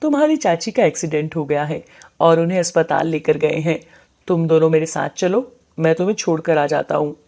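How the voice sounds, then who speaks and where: An actor playing a big, pushy, threatening man in an animated moral story, with a dark, mellow voice. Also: a little high, fairly quick, vocal fry. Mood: falsely urgent. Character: big, tall, menacing kidnapper man, lying and bullying.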